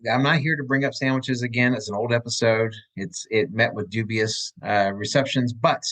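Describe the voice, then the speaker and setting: A man of average pitch hosts a relaxed chat podcast and tells rambling, joking stories from a room at home. He talks over a video call. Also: normal speed, poor audio. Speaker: podcast host. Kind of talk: casual chat.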